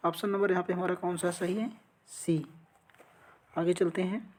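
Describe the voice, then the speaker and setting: A woman's voice: fairly low pitch, steady tone, normal speed, a little mellow, a little hiss or hum. A woman teaching an exam-prep lesson, reading out quiz questions and answering them in an instructional way. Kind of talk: lesson going through multiple-choice quiz questions.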